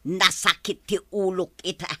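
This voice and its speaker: An actress playing a snappish, complaining wife with an Ilocano accent. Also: female voice, fairly low pitch, fairly even intonation, unhurried, bright, forceful tone, no echo. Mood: irritated.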